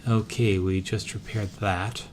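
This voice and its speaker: Hoarse man talking through a strategy game. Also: lowish voice, level tone, a little slow, a little hiss or hum. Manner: easygoing, thinking aloud with many "uh"s. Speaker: let's-play gamer. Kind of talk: casual strategy game commentary.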